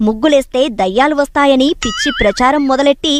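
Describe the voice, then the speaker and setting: A woman's voice: high-pitched, fast talker, noisy background, close to an everyday voice. A woman voicing a proud, self-important female rangoli drawing that talks and explains in a comic Telugu cartoon. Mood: proud, explaining.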